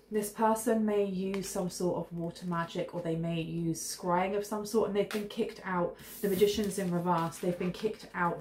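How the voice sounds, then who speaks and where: A woman's voice: on the low side, mellow. A woman in her thirties giving a casual tarot reading to camera from her kitchen, chatty and rambling, sniffling with a cold. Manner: chatty, intuitive, rambling asides. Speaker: tarot reader. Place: home kitchen, indoors. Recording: echoey room with faint hiss.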